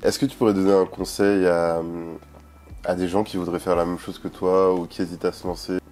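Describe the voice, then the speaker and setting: A male host with a very low voice asks short interview questions and offers brief affirmations. He closes the show with a polished sign-off while faint music plays underneath. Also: level tone, fairly quick, darkish tone.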